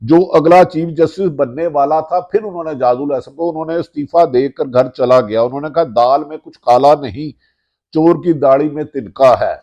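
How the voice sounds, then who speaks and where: A man's voice: fairly high pitch, normal speed, dark tone. A man with a Pakistani accent delivering political news commentary as a channel host, with an emphatic way of piling up short phrases. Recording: dull, muffled lo-fi audio.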